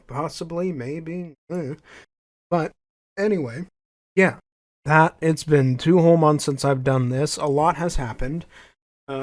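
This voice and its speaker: A young man with a slightly higher voice hosts his own podcast, talking casually to listeners. His nose is stuffy from a cold, and he rambles, repeating phrases and correcting himself as he goes. The sound is dry and close on a home microphone. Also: no echo. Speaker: podcast host. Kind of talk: solo casual podcast chat.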